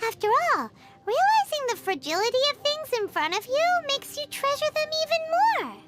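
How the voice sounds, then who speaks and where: A woman voicing a tiny, excitable, childlike fairy companion in a video game, squeaky, exclamatory and quick to get indignant. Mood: earnest, curious. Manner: exclamatory, refers to self in third person. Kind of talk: animated video game voice acting. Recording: loud music under the voice. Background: loud background music.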